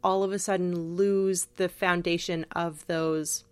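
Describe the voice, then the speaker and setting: A woman's voice: on the low side, level tone, measured pace, clear, resonant tone, no echo. A woman co-hosting a podcast in casual analytical discussion, emphatic and reflective, sprinkling in "like" as she thinks aloud. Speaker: podcast co-host.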